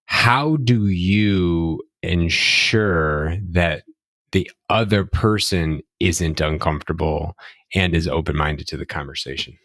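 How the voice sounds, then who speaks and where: Male podcast host, deep low voice, measured questions, dry sound. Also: a bit bright, dry recording. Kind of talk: casual interview conversation.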